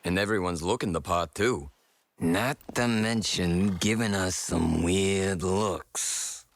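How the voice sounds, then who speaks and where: Very deep, slow, laconic adventurer played by a man. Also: no room sound. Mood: skeptical. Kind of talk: audio drama acting. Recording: music behind the voice.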